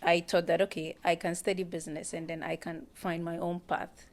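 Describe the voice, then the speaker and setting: A woman in her twenties from Ghana talks through her path as a guest on a live stream. Her voice sits a little low with a gravelly edge. She hesitates often and repeats words as she finds them. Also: flat delivery, moderate pace. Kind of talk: casual live-stream interview.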